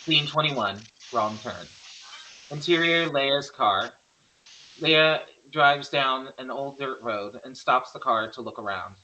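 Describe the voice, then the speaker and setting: A man's voice: mid-range voice, slow pace, sharp tone, highs cut off, a little hiss or hum. A man narrating stage directions in an amateur screenplay table read, sometimes stumbling and correcting himself.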